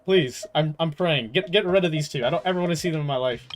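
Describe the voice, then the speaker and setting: High-voiced young man streaming games. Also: fast talker, faint music behind. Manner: animated, slangy, swears freely. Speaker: game streamer. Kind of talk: casual livestream chat.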